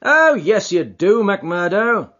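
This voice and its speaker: A man voicing a genial, confident gentleman detective in an audiobook. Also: high voice, brisk, voice pushed up. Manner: genial and assured. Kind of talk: audiobook character voice. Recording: dull lo-fi audiobook recording.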